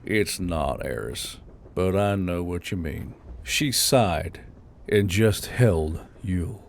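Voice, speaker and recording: A man narrates a scripted story as an audiobook reader. His voice sits at a medium pitch. There is faint noise and ambience behind him. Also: darkish tone.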